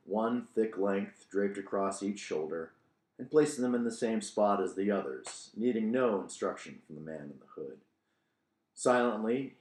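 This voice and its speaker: Low-voiced man in an echoey room. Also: darkish tone. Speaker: author reading own book, host. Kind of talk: audiobook narration with casual commentary. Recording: roomy, echoing room sound.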